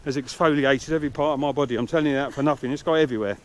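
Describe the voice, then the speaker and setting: A man's voice: medium pitch, racing. A man hosting a travel vlog, chatting casually to his viewers.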